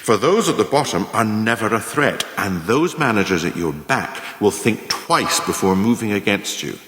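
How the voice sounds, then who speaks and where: Radio play lecturer, played by a male actor: low voice, animated intonation, formal and calculating instruction. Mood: measured, instructive. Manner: measured, didactic, formal, rhetorical. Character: male lecturer coolly teaching scheming career advancement. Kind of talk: scripted radio monologue as a lecture. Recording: echoey room with long reverb.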